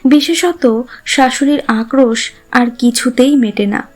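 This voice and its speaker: A woman's voice: high-pitched, unhurried, darkish tone. A woman reading a scripted literary story as its narrator. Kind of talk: scripted literary narration in formal Bengali prose.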